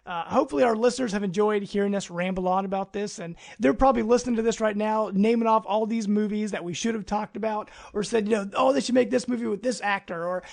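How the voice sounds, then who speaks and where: A man with a high-pitched voice and very heavy creak hosts a casual podcast. He talks in an enthusiastic, informal, rambling way. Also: quick pace, few pauses, a little mellow. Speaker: podcast host.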